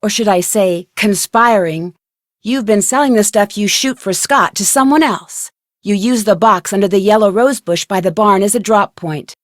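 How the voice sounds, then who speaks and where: A woman playing an inquisitive, plucky young female amateur detective in a video game, speaking in an earnest, expressive way. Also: mid-range voice, normal speed, no echo, studio quality, close to an everyday voice. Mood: determined, earnest.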